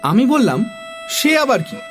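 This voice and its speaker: Male story narrator, strikingly high voice, lively intonation, theatrical, music underneath. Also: unhurried. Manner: expressive, theatrical. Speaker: narrator, also voicing a young boy. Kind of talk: animated children's story narration.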